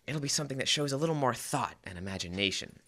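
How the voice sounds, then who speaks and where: A young man playing an earnest, fussy husband in an old-time radio comedy, with hesitant, deliberate comic timing. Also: medium pitch, lively intonation, pushed and bright. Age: teens to young adult. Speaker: student actor. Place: school library, indoors, live stage reading. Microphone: stand microphone.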